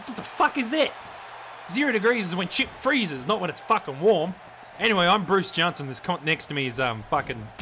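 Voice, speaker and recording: A man's voice: a little high, bright, forceful tone, a little hiss or hum. A man playing a crude, swearing Australian wrestling commentator who rambles in a blokey way over a video game match.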